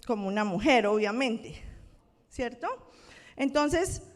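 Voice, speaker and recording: A woman's voice: a little high, expressive, slow. A woman pastor from Colombia with a Colombian accent, preaching a conversational sermon and checking in with her congregation. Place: church sanctuary. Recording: long church-hall reverb.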